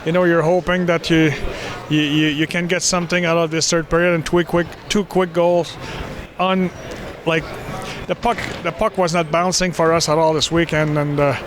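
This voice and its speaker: A man giving postgame interview answers as a hockey coach, halting and repeating words as he goes. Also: a little high, level tone, background noise.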